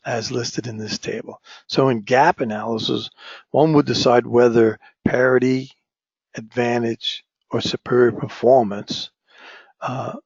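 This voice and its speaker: Hoarse male lecturer with a cold. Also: mid-range voice, a little mellow, no room sound. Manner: frequent restarts, asks "right?" often. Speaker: instructor. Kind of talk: recorded online lecture. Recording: dull, dry lo-fi recording.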